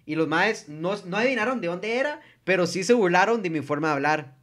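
A man's voice: sits high, animated, a little fast, fairly bright tone. A young man with a Costa Rican accent hosting a casual group chat, laid-back and slangy with lots of filler words. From Costa Rica.

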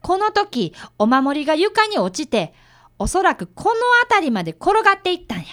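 A woman playing a bright, polite fifth-grade boy apprentice detective in a radio drama. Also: sits high, sing-song, moderate pace. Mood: explaining. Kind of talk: radio drama acting with first-person narration.

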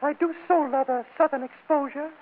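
A falsetto, prim spinster lady. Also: steady tone, brisk, narrow, tinny audio. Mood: coy, sweet. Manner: genteel, coy, fussy. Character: man disguised as his prim, touchy spinster sister. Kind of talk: 1940s radio comedy acting. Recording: noisy, band-limited old radio recording.

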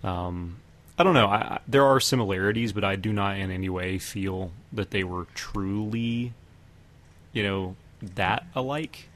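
Low-voiced man hosting a casual film podcast. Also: measured pace, a bit bright. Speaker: podcast host.

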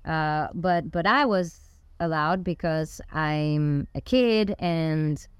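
A woman guest on a podcast who talks in a relaxed, reflective way and often restarts her sentences. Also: low-pitched, slow, clear, resonant tone, dry recording, studio quality. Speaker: guest musician. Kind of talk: casual interview.

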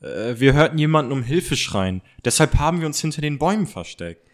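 A man's voice: a little high, expressive, a little fast, natural voice. A man playing a reluctant, anxious teenage boy detective in an amateur audio drama, with a hesitant manner. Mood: nervous.

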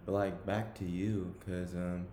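A man's voice: low-pitched, little pitch movement, a little slow, dark tone. A man hosting a casual interview, laid-back, with short affirmations and frequent "like.". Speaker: host interviewer. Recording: echoey room, faint hiss.

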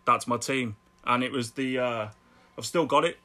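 A middle-aged man with a Birmingham accent chats on a podcast. His voice is bright and ringing at a middle pitch, and he laughs easily, often repeating himself as the stories tumble out. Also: normal speed. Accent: Birmingham English. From Birmingham, England. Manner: chatty, laughs often, repeats words when excited. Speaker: guest artist. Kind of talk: casual podcast chat.

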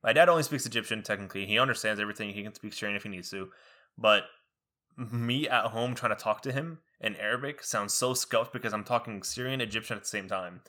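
A man's voice: fairly low pitch, fast talker, pushed and bright. A young man in casual conversation, rambling with frequent restarts and laughing at himself. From United States. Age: young adult. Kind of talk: casual chat.

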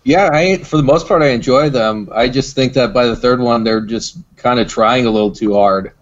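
A man's voice: mid-range voice, normal speed. A man chatting casually as a podcast guest, on a slightly dull, lo-fi recording. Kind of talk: casual chat.

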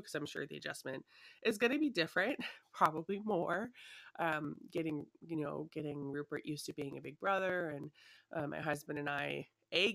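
A woman's voice: low voice, creaky, bright, forceful tone, no echo. A young woman hosting her own podcast, talking in a chatty, rambling way with lots of fillers, still a little sniffly from a cold. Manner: chatty and rambling, many fillers, laughs lightly. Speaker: podcast host. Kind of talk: casual solo podcast chat. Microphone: her own desktop microphone.

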